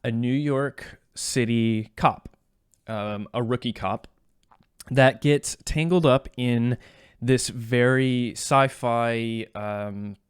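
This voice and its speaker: A man's voice: medium pitch, takes it slowly, smooth, no echo, clean studio sound. A man co-hosting a podcast, chatting casually about video games in a joking, self-deprecating way. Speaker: podcast co-host.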